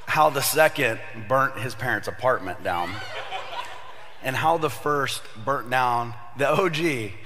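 Casual, joking pastor in his thirties, mid-pitched, a little raspy from a cold, preaching in a reverberant hall. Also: takes it slowly, crowd noise. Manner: chatty storyteller, jokey asides, many fillers. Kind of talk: casual sermon to young adults.

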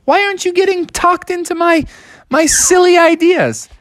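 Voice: extremely high pitch, moderate pace, fairly bright tone, no echo, voice pushed up. A storyteller putting on Bubby, a cheeky young bear. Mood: wheedling. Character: young male bear, cheeky smooth talker.

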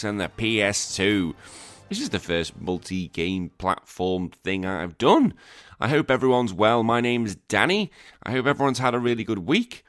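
A man in his thirties from England, hosting a podcast in a rambling, self-deprecating chat, sounding croaky from a cold. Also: fairly low pitch, fairly bright tone. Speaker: podcast host. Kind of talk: casual chat.

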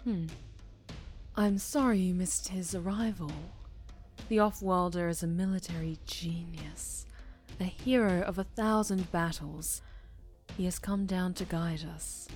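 A woman playing a zealous, bitter loyalist wounded by betrayal in an audio drama, speaking with measured, reproachful menace. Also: lowish voice, fairly even intonation, darkish tone, natural voice. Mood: cold, measured. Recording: music under the voice.

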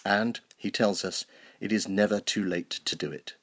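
A man, a clergyman, delivering a scripted sermon and scripture reading in a measured, explanatory manner. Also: fairly low pitch, steady tone. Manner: measured, explanatory, rhetorical questions. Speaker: clergyman, Anglican canon.

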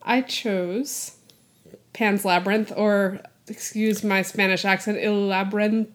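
A woman's voice: medium pitch, steady tone, slow. A woman co-hosting a movie podcast in casual chat, recapping a plot in a rambling way and laughing often. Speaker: podcast co-host.

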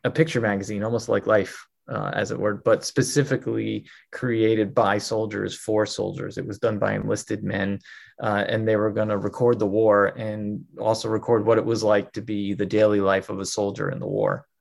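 Gravelly low-voiced man telling a story. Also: flat delivery, moderate pace. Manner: fluent, storytelling with fillers. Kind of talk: interview answers. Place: New York.

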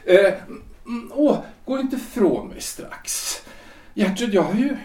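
A man's voice: high voice, expressive, darkish tone, distant mic. An actor playing a chatty, self-important middle-aged lawyer husband in a period audio drama, hesitant and stammering as he rambles. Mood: hesitant, coaxing. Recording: echoey room, off the mic.

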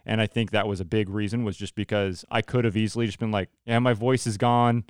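A man's voice: lowish voice, fairly even intonation, a little fast, clean tone, no room sound, clean studio sound. A man hosting a casual interview podcast, chatting in a self-deprecating, joking way. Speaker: podcast host; church worship leader.

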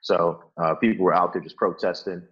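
A man's voice: deep voice, fairly even intonation, a little fast, lo-fi sound. A young man from Chicago, answering interview questions at home in loose casual talk full of "like" and "uh". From Chicago, Illinois. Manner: rambling, many fillers like "like" and "uh", restarts. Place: his apartment.

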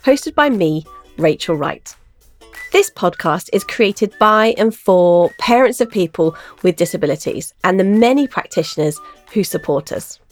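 A woman's voice: fairly low pitch, animated, unhurried, soft background music. A woman hosting a podcast, introducing the show and her guests with enthusiasm. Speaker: podcast host.

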